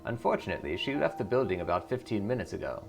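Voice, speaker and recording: Man acting a solemn, low-voiced cult preacher. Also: big pitch swings, quick pace, creaky. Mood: fatherly, guarded. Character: smooth, pious male cult preacher, solemn and fatherly. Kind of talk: video game dialogue read aloud. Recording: music behind the voice.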